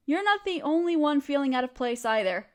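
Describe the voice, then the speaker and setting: A woman's voice: sits high, big pitch swings, fairly quick. A woman delivering a comforting scripted monologue straight to the listener, reassuring and encouraging like a supportive friend. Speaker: audio creator speaking as a supportive friend.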